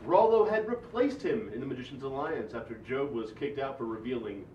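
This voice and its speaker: Man narrating deadpan with lively pitch. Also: fairly quick, background noise. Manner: deadpan mock-documentary narration. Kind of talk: comic script narration. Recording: distant, roomy, dull audio.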